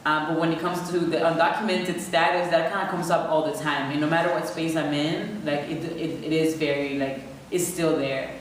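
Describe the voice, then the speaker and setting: A young woman from Venezuela with a low voice speaks thoughtfully, reaching often for fillers as she reflects on her identity. She was recorded from some distance in a very echoey room with a faint hiss behind her. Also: steady tone, moderate pace, few pauses. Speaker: interviewee. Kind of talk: personal reflection.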